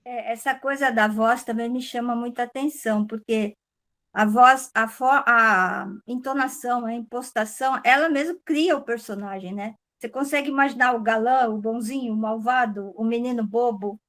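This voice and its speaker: Brazilian woman with a slightly high voice. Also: moderate pace, a bit bright. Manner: searching for words, self-correcting. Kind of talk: casual discussion in a class.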